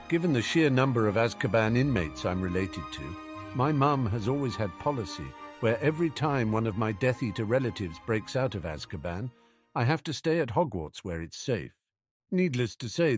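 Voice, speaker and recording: A blunt, cheerful teenage witch , as a male reader plays her. She talks in a breezy, teasing way with very animated intonation, in a storybook tale with music underneath. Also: mid-range voice, normal speed, lightly acted.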